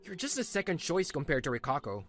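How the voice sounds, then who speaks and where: A woman's voice: sits low, very animated, quick pace, faint music behind. A woman voicing a smug, sneering middle-aged husband in a manga dub. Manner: sneering, dismissive.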